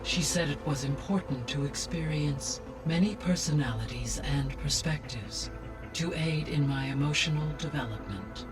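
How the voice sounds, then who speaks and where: Male game AI played by a man, fairly high voice, calm, gentle, formal, precise, prominent music behind. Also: lightly acted. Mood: measured, informative. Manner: measured, formal, precise delivery. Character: calm, erudite, gentle male artificial intelligence. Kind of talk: scripted video game dialogue.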